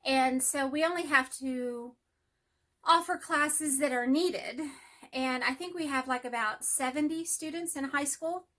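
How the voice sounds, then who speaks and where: A woman's voice: sits high, measured pace, fairly bright tone. A middle-aged woman with a Texas twang and a nasal voice, chatting casually to camera about her life. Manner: rambling, friendly, self-deprecating. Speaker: hobby vlogger and math teacher.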